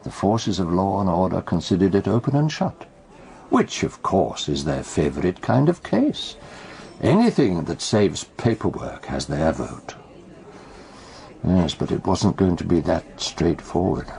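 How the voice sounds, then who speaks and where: An actor playing a wry, erudite older British gentleman in a radio detective drama, speaking in a measured way. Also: sits low, sing-song, creaky, heavily acted. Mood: wry, reflective.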